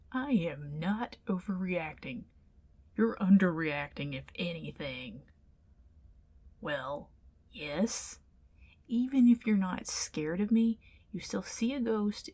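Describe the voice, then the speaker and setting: Woman acting a huffy, slow-spoken tsundere ghost. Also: fairly low pitch, a little mellow. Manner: stammering, huffy, defensive. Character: indignant, flustered tsundere ghost woman, over a century old. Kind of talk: ASMR roleplay acting.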